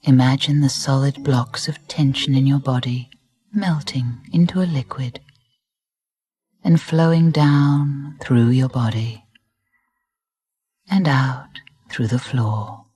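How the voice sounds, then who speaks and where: Slow, breathy low-voiced woman guiding relaxation. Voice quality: soft, breathy. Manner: soothing, deliberate, gentle phrasing with long pauses. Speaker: relaxation guide. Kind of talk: guided meditation narration. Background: music.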